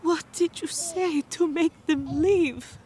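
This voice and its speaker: A woman's voice: very high-pitched, slow, mellow. A woman playing a devout young mother in an audio drama, speaking with tender, reverent emotion. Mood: tearful.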